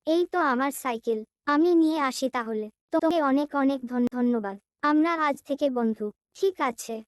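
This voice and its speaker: A woman voicing a sweet, earnest young girl in an animated cartoon. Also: high voice, moderate pace, natural voice. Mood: friendly.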